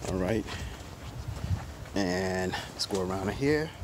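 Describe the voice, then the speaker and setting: A man's voice: low-pitched, animated, slow pace. A man in his forties hosting a casual DIY walkthrough, talking loosely with many fillers and restarts, filmed on a handheld phone in his driveway and garage. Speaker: DIY video host. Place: outdoors in a driveway and in a home garage. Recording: windy outdoor phone audio with clicks. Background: wind noise.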